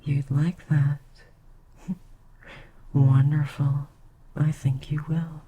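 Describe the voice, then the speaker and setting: A woman voicing an ancient queen succubus, soft and tender, often slipping into a breathy whisper in an ASMR roleplay. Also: sits low, little pitch movement, extremely slow, exaggerated voice, low-quality recording. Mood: soothing.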